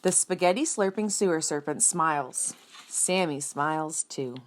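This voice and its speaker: A woman reading a picture book aloud for children in an expressive storytelling style, speaking directly and simply to young listeners with dramatic emphasis. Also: deep voice, unhurried. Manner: dramatic emphasis, acts out sound words. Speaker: children's read-aloud reader.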